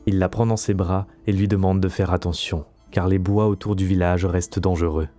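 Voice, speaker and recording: Male narrator, very low pitch, dark warm tone, scripted fantasy storytelling, faint music bed. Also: steady tone, fairly quick.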